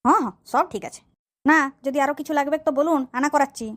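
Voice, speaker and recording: A performer with a high voice playing an impatient, self-important male village priest with a rural West Bengal accent in a comedy cartoon. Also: fast talker, lightly acted. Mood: reassuring.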